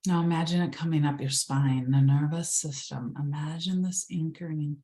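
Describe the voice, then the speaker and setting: A woman leading a guided meditation, speaking slowly and soothingly, sometimes dropping to a whisper. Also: low-pitched, steady tone, dark tone. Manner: slow, soothing, drifts into whispers.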